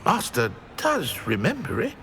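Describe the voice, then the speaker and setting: Servile house-elf for a fantasy game: high, very animated, anxious, stammering, obsequious. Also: male voice, a little slow, gravelly, slight background noise, heavily acted. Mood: nervous, fawning. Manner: stammering, obsequious, speaks of self in third person. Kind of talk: video game voice acting.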